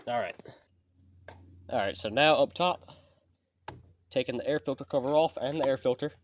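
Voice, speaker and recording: A man's voice: mid-range voice, normal speed, lo-fi sound. A man hosting his channel, walking through a repair step by step in a plainspoken, folksy way, sounding sniffly from allergies. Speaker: channel host. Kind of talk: casual how-to repair walkthrough.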